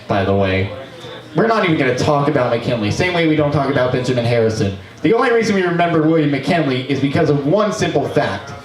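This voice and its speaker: A young man on a small bar stage tears through a comic history rant with a high, swooping voice and profane outbursts. He stands at a mic stand, picked up by a camera across a roomy venue. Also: far from the mic. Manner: profane, animated, breaks into shouts. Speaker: open mic performer. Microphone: stand mic, distant camera.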